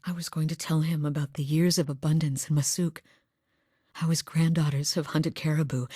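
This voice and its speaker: A woman's voice: sits low, dark tone, no room sound, clean studio sound. A woman voicing a determined young woman hunter, earnest and sincere in a video game. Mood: wistful. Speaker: voice actor. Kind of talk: video game character dialogue.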